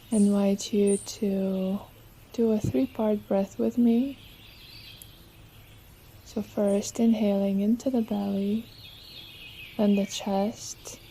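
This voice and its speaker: Woman, meditation guide, very soft dark tone, gentle and soothing, birdsong and nature ambience behind. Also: medium pitch, a little hiss or hum. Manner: soothing, unhurried, gentle instruction. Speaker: yoga nidra teacher. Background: birdsong and nature sounds.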